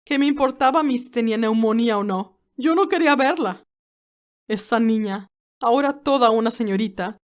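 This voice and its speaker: A woman's voice: on the high side, close to an everyday voice. A woman playing a stern, haughty elderly aristocratic great-aunt who tells her story formally and dramatically, with anguish and resentment. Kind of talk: first-person dramatized narration.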